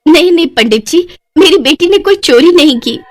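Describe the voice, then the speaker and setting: A woman's voice: very high voice, expressive, normal speed, voice pushed up. A woman voicing a poor, anxious village mother in a cartoon story, plaintive and imploring. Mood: pleading.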